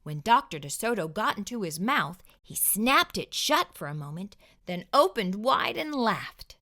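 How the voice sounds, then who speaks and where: A woman reading a children's storybook aloud expressively, with clear enunciation. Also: medium pitch, sing-song, unhurried, pushed and bright.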